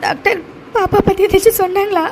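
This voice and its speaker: A woman's voice: extremely high pitch, steady tone, darkish tone. A woman playing a frightened, guilt-ridden young wife in an emotional audio drama, crying and stammering as she pleads. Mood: frightened, tearful. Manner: tearful, halting, stammering repetitions. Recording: faint background noise.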